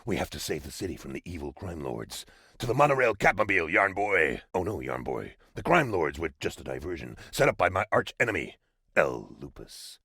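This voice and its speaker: An actor voicing a grandiose, melodramatic comic-book superhero in a video game parody, declaiming pompously. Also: male voice, deep voice, very animated, a little fast, bright, forceful tone, slightly rough, dry recording, studio quality, exaggerated voice. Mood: grave, dramatic.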